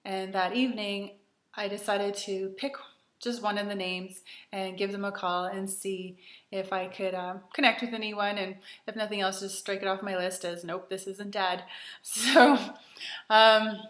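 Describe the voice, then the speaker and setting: A Canadian woman in her thirties talks to camera about her own past, earnest and chatty, often pausing on "um". Her voice sits mid-range, and the room around her is roomy and echoing. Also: mid-range voice, steady tone, fairly bright tone. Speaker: retreat host. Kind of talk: personal storytelling to camera.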